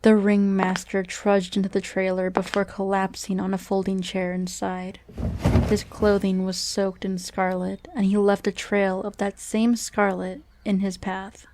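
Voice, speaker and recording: A woman narrating a radio drama in a measured, scripted storytelling style. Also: mid-range voice, little pitch movement, background noise. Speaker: narrator and announcer.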